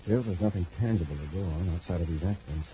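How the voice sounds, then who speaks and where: Guy doing steady space commander in old radio serial, american, talks fast and authoritative, hissy old recording. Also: sits low, lightly acted. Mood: calm, probing. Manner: brisk, authoritative, measured questioning. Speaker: radio drama actor. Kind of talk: 1950s radio adventure serial acting. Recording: muffled, noisy vintage radio recording.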